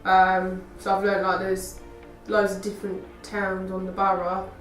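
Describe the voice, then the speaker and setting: Flat-toned young woman, casual. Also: mid-range voice, measured pace. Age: young adult. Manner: casual, lots of "like". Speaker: youth work apprentice. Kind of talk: interview answers. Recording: roomy, mic far off, faint music.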